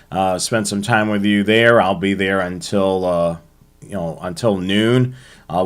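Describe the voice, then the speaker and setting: An American man hosting a hobby show, presenting to viewers in a halting way with frequent ums and uhs. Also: on the low side.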